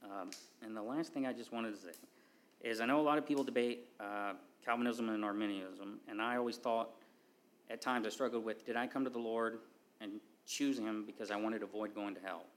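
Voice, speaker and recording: A man with a somewhat low voice speaks earnestly about his faith, breaking off and restarting his phrases. A faint hiss of background noise sits under the recording. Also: fairly even intonation, fairly quick. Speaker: church member giving testimony. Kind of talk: personal testimony.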